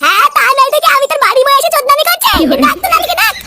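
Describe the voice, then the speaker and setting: A performer voicing a scrappy, foul-mouthed village cartoon character with a squeaky, childlike voice and a cheeky, scolding manner. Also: expressive, rapid-fire, heavy background noise. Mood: furious, scolding. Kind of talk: cartoon comedy dubbing. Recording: music behind the voice.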